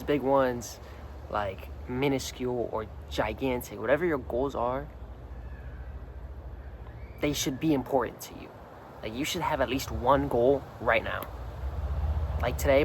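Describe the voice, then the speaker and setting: A young man in casual talk to the camera, slightly hoarse from singing the night before, rambling earnestly and repeating his words. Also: mid-range voice, a little hiss or hum, poor audio. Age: young adult. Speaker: vlogger. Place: outdoors, hot and sunny.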